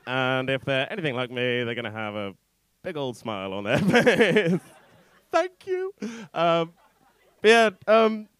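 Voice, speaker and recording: A man in his thirties doing informal stand-up into a handheld mic, rambling, halting and sweary. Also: a little high, a bit bright, clear, resonant tone, no echo. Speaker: stand-up comedian. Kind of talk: stand-up comedy set, informal crowd banter. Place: on stage in a small indoor comedy club. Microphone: handheld stage microphone.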